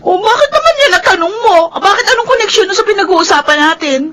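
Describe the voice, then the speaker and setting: A Filipino actress playing a bitter, hot-headed young daughter in a radio drama, emotional and accusing. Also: female voice, very high-pitched, very animated, fast, noisy background. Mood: frustrated.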